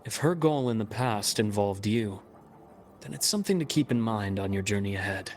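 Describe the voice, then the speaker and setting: A man voicing a composed, analytical investigator in a game, speaking in a measured, explanatory way. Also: lowish voice, animated, a little hiss or hum. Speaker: game character. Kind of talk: game cutscene voice acting.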